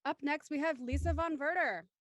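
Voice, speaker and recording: A woman's voice: high voice, normal speed, no echo, clean studio sound. A woman hosting a panel discussion, talking conversationally as she moderates. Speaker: host of a cast discussion.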